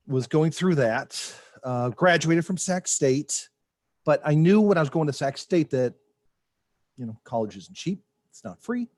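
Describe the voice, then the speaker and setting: A man's voice: mid-range voice, no room sound. Plain-spoken man, dry close sound. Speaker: panelist, alumnus. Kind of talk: informal career storytelling on a panel. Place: online video call.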